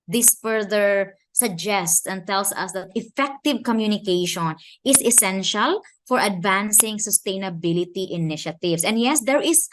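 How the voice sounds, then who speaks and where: A woman from the Philippines with a Filipino accent, giving an academic conference talk in a measured way and slowing down to stress key phrases and questions. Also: medium pitch. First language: Filipino language. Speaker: conference speaker.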